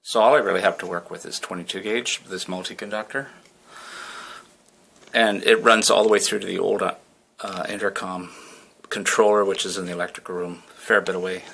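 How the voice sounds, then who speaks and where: A man's voice: low-pitched, fairly even intonation, normal speed, vocal fry, fairly bright tone, slightly rough. A man giving casual, plain-spoken commentary as he works, thinking aloud in an informal way. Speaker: fire alarm technician apprentice narrating his work. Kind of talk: casual hands-on work vlog commentary. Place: indoor building, at ceiling space and wall panels. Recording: noticeable background noise on handheld camera.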